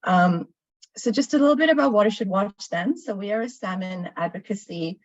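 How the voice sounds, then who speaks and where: A woman's voice: medium pitch, normal speed, no room sound, dull audio. A woman from Sri Lanka, raised in Dubai, giving a webinar talk in a storytelling way, with many fillers and restarts. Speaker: guest presenter. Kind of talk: webinar presentation.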